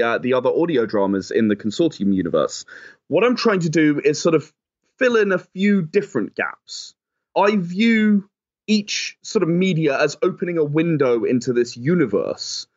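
A man giving conversational, explanatory interview answers, often softening his points with "sort of". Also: medium pitch, muffled recording. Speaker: game designer and writer, interview guest.

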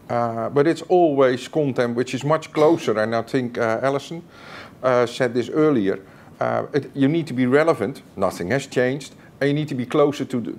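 A man in a conference panel who explains his points slowly, with plenty of "uh" and restarts. His voice sits at an ordinary pitch on a stage indoors. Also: darkish tone. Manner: hesitant, full of fillers, explanatory.

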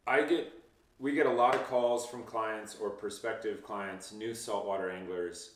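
A man's voice: lowish voice, fairly even intonation, a little slow, a little hiss or hum. A man in his thirties, a fishing guide, giving easygoing, reassuring interview answers with frequent ums, seated in a home office. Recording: roomy, distant mic.

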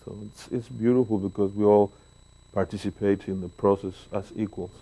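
A man in his sixties from Mexico with a Mexican Spanish accent, telling stories with pauses and fillers as an interview guest in a living room, speaking into a lapel mic. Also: fairly low pitch, fairly even intonation, vocal fry, slight background noise, rough recording. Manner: warm, storytelling, pauses with fillers. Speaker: guest bass player. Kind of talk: relaxed interview talk. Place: living room, seated on a sofa.

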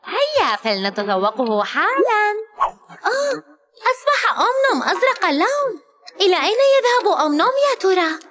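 A woman's voice: extremely high pitch, very animated, brisk, a crowd in the background. A woman narrating a children's learning cartoon, exclaiming and asking questions as she talks to the character and young viewers.

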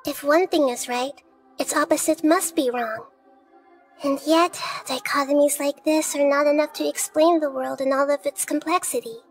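A little goddess of wisdom with a soft, high, childlike voice. She speaks deliberately and calmly, like a thoughtful sage in a small girl's body, over faint game music. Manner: measured, philosophical, deliberate. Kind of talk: video game voice acting.

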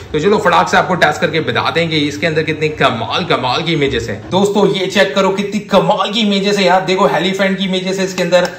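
A man with an Indian accent giving a gushing, enthusiastic toy demonstration as a review host, excitable and repetitive. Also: on the high side. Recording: echoey room with faint noise.

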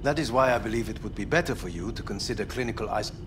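An actor playing a formal, coolly clinical corporate scientist in a video game. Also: male voice, on the low side, lively intonation, lightly acted. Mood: clinical, probing. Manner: formal, measured, probing. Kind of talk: video game dialogue acting. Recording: game ambience and traffic noise behind.

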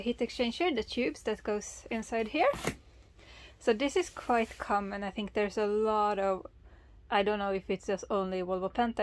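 A woman's voice: mid-range voice, darkish tone. A woman, chatting casually and enthusiastically to camera as she explains things as a sailing vlogger. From Sweden. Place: small sailboat, in cabin and covered cockpit, and outdoors at a marina.

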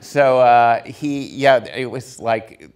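A man's voice: medium pitch, slow, clean tone. A man in his fifties, a production designer on a stage panel, telling stories with many fillers and restarts into a handheld mic. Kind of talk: casual storytelling on a panel. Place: theater stage before an audience.

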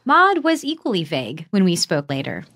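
A woman playing an inquisitive podcast journalist, narrating an investigation in a measured, conversational way in an audio drama. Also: lowish voice, huge pitch swings, fairly bright tone, exaggerated voice. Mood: confiding.

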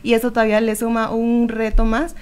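A woman's voice: a little high, completely flat delivery, brisk, a little mellow, smooth. A young woman explaining as an interview guest, often searching for her words. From Guatemala. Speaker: programme worker guest. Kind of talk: explanatory radio interview answer. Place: small radio studio with several people. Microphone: studio microphone close up.

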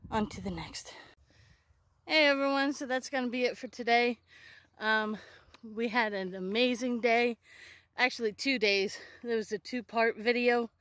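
A woman in her thirties, an excited and chatty metal detecting vlogger, talking casually to camera outdoors in an open farm field. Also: on the high side, a little slow, dry recording, studio quality. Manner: excited, chatty, self-correcting. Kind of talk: casual outdoor vlog narration. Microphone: handheld or body camera close up.

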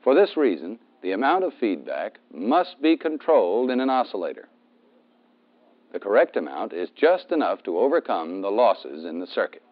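A man's voice: on the low side, big pitch swings, moderate pace. An American man giving methodical instructional narration as a training film instructor, stressing key terms. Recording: dull, band-limited 1970s film soundtrack.

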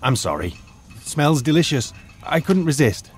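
A man's voice: fairly high pitch, big pitch swings, moderate pace, soft background music. A man playing a jittery teacher faking British manners. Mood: nervous, polite. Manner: nervous, stammering, overly polite. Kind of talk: audio drama acting.

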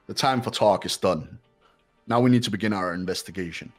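Casting: man as arrogant teenage heir, low register, clipped and condescending, game dialogue. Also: fairly quick, faint music behind. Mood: cold, condescending. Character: haughty, cold, rich teenage boy. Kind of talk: amateur visual novel voice dub.

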